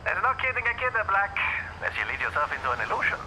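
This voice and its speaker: An actor playing a playful, cryptic supernatural ally who teases in flowery, archaic phrases over a phone in an audio drama. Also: male voice, sits high, sing-song, racing, sharp tone, a little hiss or hum, exaggerated voice, rough recording. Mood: cryptic, teasing. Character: playful, theatrical, cryptic male demigod-kin ally on phone. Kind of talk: audio roleplay drama acting.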